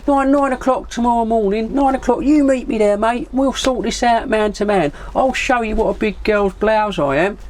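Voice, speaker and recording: Male storyteller playing belligerent drunk CB loudmouth, southern English, higher-pitched, taunting, repetitive threats. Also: normal speed, hardly any pauses. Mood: blustering challenge.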